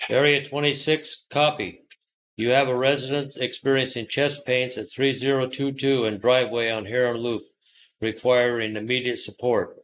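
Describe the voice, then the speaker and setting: Mid-pitched man on radio procedure. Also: level tone, moderate pace, a bit bright, rough recording. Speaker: radio communicator in a drill. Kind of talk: scripted two-way radio exchange.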